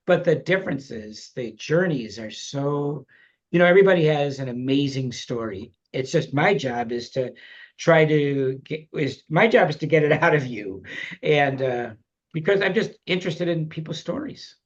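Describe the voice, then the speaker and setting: A man's voice: fairly high pitch, animated, normal speed, highs cut off. A man chatting as a podcast guest, reflective and rambling, with many restarts and fillers. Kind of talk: casual interview chat.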